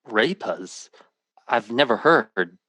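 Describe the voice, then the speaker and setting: A man's voice: medium pitch, lively intonation, slow pace, sharp tone, no room sound, heavily acted. A man playing an earnest, troubled, intense hero in an old-time radio drama. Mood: questioning, alarmed. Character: earnest, troubled man telling a fantastic story.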